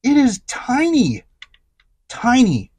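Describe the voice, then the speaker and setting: A man's voice: very high voice, huge pitch swings, slow, a little mellow, husky, no echo. A man giving a casual product review as host, stressing key words with emphatic repetition.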